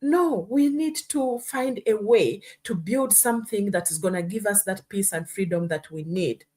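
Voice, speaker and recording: A woman's voice: mid-range voice, expressive, moderate pace, dark tone. A woman from Uganda hosting a live stream, talking earnestly and often checking in with "hmm?" and "you know". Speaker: host. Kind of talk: casual live-stream talk.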